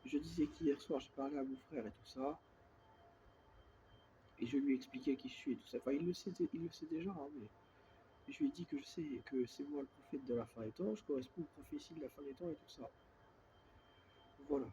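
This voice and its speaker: A man with a head cold talks softly and at length into his phone held close. He rambles through a casual monologue and keeps circling back with filler words. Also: mid-range voice, fairly quick, pauses often, a little hiss or hum, poor audio. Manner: speaks softly on purpose. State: has a cold, congested and coughing. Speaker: self-filmed vlogger.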